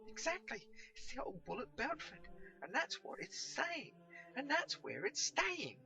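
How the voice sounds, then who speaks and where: A man's voice: very high voice, huge pitch swings, unhurried, creaky, sharp tone, faint music behind, voice pushed up, full character voice. A man voicing an impatient, bossy young boy who talks in a colloquial, exasperated way, in a storybook reading. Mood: cross, exasperated.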